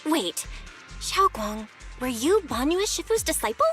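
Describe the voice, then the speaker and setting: Very high-pitched caring young girl. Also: big pitch swings, unhurried, fairly bright tone, soft background music, full character voice. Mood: curious. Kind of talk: video game voice acting.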